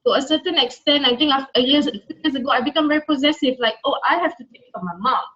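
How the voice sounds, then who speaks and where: A woman's voice: high-pitched, racing, muffled recording. A Singaporean woman being interviewed, talking conversationally and motivationally in an emphatic way that repeats key phrases. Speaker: interview guest, coach.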